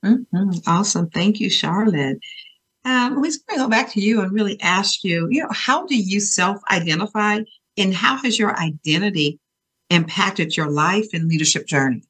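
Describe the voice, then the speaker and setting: A woman's voice: medium pitch, animated, moderate pace, a bit bright, breathy edge. A woman hosting an interview, thanking guests and asking direct questions. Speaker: host.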